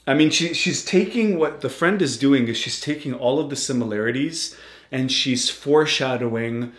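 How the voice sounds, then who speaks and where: A man co-hosting a reaction show, talking in an animated, rambling way with frequent restarts. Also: mid-range voice, moderate pace, reverberant. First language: English. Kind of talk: casual reaction commentary.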